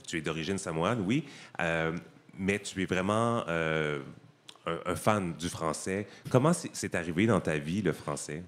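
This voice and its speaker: Low-voiced male talk show host. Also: unhurried. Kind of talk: casual talk show interviewing.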